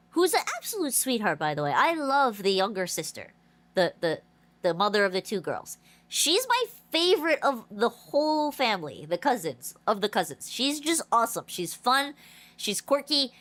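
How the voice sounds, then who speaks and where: Congested, high-pitched woman streamer. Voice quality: stuffy, nasal. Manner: rambling, animated storytelling with many restarts. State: congested, nose blocked. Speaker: game streamer. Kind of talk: casual livestream chat.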